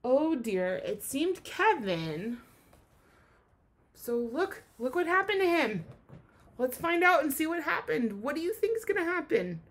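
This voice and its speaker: Highly animated woman teacher reading aloud. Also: unhurried. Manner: expressive, asks listeners questions and explains. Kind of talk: animated children's read-aloud lesson.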